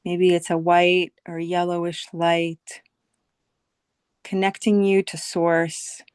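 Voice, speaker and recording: A woman leading a guided visualization meditation as a teacher, speaking soothingly in short phrases with long, unhurried pauses. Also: lowish voice, flat delivery, a little mellow, clear, resonant tone, no echo, clean studio sound.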